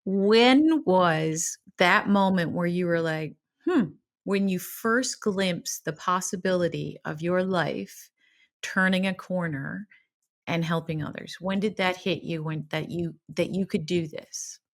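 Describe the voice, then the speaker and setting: A woman's voice: fairly low pitch, slow. A woman hosting a podcast, asking questions and affirming her guest, with fillers as she talks. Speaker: podcast host. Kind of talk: conversational interview.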